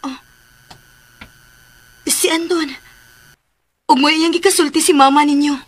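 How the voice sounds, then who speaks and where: A woman's voice: high-pitched, steady tone, measured pace. A woman playing an anxious, insistent young woman who keeps questioning others in a radio drama. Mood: curious, wary. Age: young adult.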